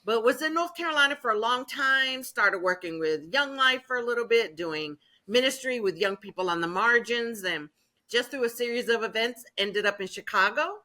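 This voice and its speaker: A woman's voice: a little high, lively intonation, normal speed, sharp tone. A woman in her fifties from Maine, a youth minister telling her life story earnestly in an interview. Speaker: youth ministry worker, guest.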